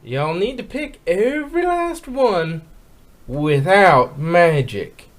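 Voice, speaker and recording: A man's voice: sits high, big pitch swings, takes it slowly, voice pushed down, heavily acted. A man voicing a brash, bossy female farm pony from a story, with a folksy drawl.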